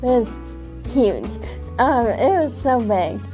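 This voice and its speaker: Teen girl hunter, slow and hesitant, filler-heavy, guitar music underneath. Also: a little high, very animated, dark tone. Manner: halting, with many likes and ums. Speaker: young hunter. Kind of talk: casual interview.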